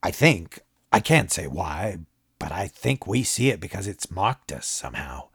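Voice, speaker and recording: A man voicing a weary, wary actor who speaks low and subdued. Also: very animated, unhurried, gravelly, a bit bright, husky, no room sound, clean studio sound, heavily acted. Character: weary, wary middle-aged male actor.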